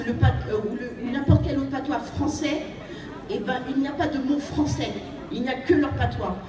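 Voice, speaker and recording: A woman from France giving an earnest, exhorting speech to an audience in a banquet hall, repeating her phrases for emphasis. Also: high-pitched. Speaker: guest speaker. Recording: echoey hall, distant mic, crowd noise.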